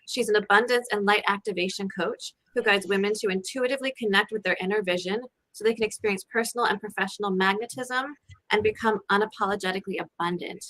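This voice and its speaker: A woman co-host with a bright, forceful voice, upbeat and enthusiastic as she introduces a guest. She speaks at a middle pitch. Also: fast, rough recording. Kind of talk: talk show introduction.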